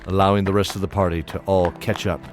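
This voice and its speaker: A man with a very low voice narrates a fantasy tale. His delivery is measured and dramatic, with music clearly playing underneath. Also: level tone. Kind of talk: scripted fantasy story narration.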